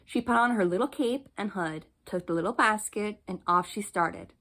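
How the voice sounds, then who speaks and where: A woman's voice: mid-range voice, moderate pace, a bit bright. A woman narrating a children's bedtime story as she reads from a storybook. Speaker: storybook reader.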